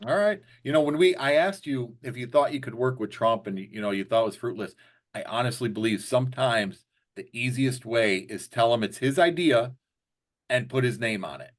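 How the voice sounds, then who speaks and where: A man's voice: mid-range voice, expressive, normal speed. A middle-aged man hosting a casual political interview, chatty and fond of joking hypothetical questions. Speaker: interview host.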